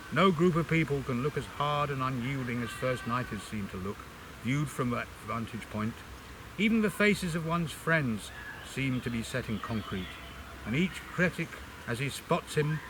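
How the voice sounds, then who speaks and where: An older man with a slightly high voice reads in a literary, expressive style. His phrasing is measured and dramatic. The home recording carries a clear, steady buzzing noise behind him. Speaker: amateur reader introducing and reading a book extract. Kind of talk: expressive literary reading aloud.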